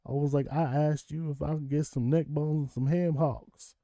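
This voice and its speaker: A man's voice: fairly high pitch, fairly even intonation, moderate pace, warm tone, no echo. A man with a Southern US accent, rambling casually to his viewers in a monologue and chuckling often. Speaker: vlogger.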